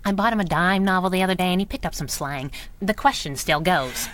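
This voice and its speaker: An actress playing a brash, wisecracking young masked crimefighter in a 1930s radio adventure, full of slangy banter. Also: female voice, fairly low pitch, brisk, fairly bright tone, lightly acted. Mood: teasing.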